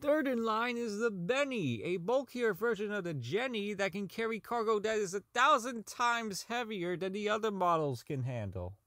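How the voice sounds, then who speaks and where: A man's voice: sits high, animated, moderate pace, hardly any pauses, pushed and bright, no echo, studio quality. A man playing a socially inept, unravelling robot designer in an audio drama log, rambling, stammering, swearing and repeating himself. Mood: proud pitch.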